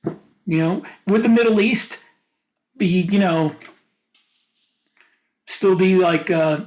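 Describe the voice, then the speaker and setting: An American man with a notably high-pitched voice talks as a podcast co-host. He offers opinions in a casual, rambling way, as part of a panel discussion. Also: slow, a little mellow, poor audio.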